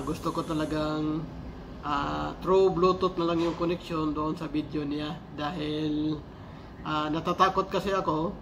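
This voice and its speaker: A man talks in a fairly high voice over clearly audible noise. He sounds like a casual gear reviewer on a vlog. His delivery is halting and explanatory, and he often repeats key words. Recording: clearly noisy amateur recording.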